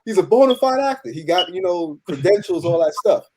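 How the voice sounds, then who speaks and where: Mellow-voiced man chatting casually. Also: high voice, expressive, fairly quick. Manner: loose, repeats "you know" often. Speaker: audio producer and cast member being interviewed. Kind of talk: casual, slangy group interview.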